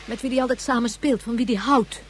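A woman's voice: a little high, fairly even intonation, fairly quick, a little hiss or hum, lightly acted. A woman acting a firm, earnest, protective mother figure in a radio play.

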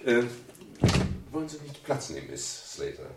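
An actor playing a jovial, sentimental private detective in a German radio crime drama, theatrical, moving between booming bluster and courteous murmur. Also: male voice, very animated, moderate pace, heavy background noise. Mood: courteous, hushed. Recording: 1970s radio play with music.

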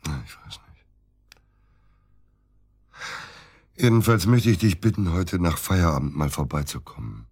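An actor playing a disgruntled middle-aged provincial surgeon, grumbling and curt, in a naturalistic radio drama. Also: male voice, low-pitched, animated, fairly quick, a little mellow, husky, no echo, studio quality, full character voice. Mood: guarded, uneasy. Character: disgruntled middle-aged provincial surgeon, worried about his missing wife.

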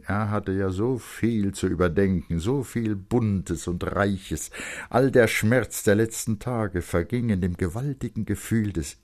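A man narrating literary fiction in a dramatic, expressive and measured storytelling style. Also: deep voice, husky.

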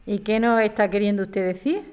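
A woman's voice: mid-range voice, steady tone, a little fast, darkish tone, smooth, close to an everyday voice. A woman from playing a caring, practical neighbour in an audio drama, direct, informal and protective. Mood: concerned.

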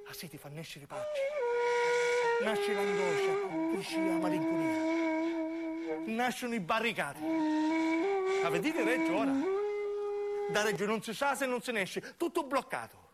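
A man with a southern Italian accent performing a dramatic, impassioned theatrical monologue for radio, emphatic and repetitive. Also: very high voice. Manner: impassioned, emphatic, repeating phrases. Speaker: narrating actor.

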